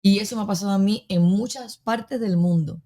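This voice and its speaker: A woman's voice: medium pitch, normal speed, warm tone. A Cuban woman with a Cuban accent, an orchestra conductor speaking earnestly and firmly as a guest in an interview. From Cuba. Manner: earnest and firm, agreeing in short replies. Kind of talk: interview conversation.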